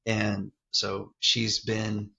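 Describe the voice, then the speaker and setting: A man's voice: fairly low pitch, monotone, drawn-out words, creaky, bright, forceful tone. A man of fifty telling his story as an interview guest, loose and candid, often saying "like" and "man". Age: 50s. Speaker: real estate investor guest. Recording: dull lo-fi sound.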